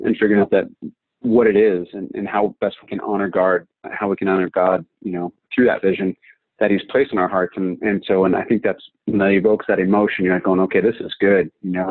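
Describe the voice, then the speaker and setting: A man's voice: fairly low pitch, husky, no echo. A man in a casual group discussion on a video call, thinking aloud in a rambling, reflective way. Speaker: class participant. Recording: dull, lo-fi video call audio.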